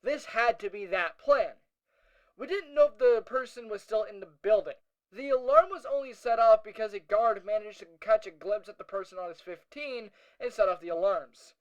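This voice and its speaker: A special ops squad leader, voiced by a performer in a mid-pitched, androgynous voice, narrates an audio log. The delivery is measured and matter-of-fact, like a field investigator setting down an incident report in a scripted audio drama. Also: close to an everyday voice, poor audio. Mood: serious, reporting.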